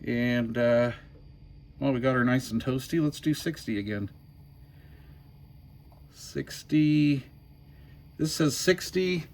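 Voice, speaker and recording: A man giving a casual hands-on demo as a hobbyist host, folksy and thinking out loud. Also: mid-range voice. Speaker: hobbyist host demonstrating a homemade power supply. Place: workshop bench.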